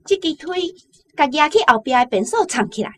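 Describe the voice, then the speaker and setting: A woman's voice: high voice, expressive, fairly quick. A woman with a Taiwanese accent playing a bossy, meddling boss's wife who orders others about in a radio drama. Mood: brisk, bossy.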